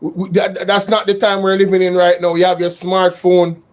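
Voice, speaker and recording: A man's voice: high voice, little pitch movement, normal speed. A man from Jamaica hosting a casual live-stream chat, speaking earnestly in a standard English rather than patois.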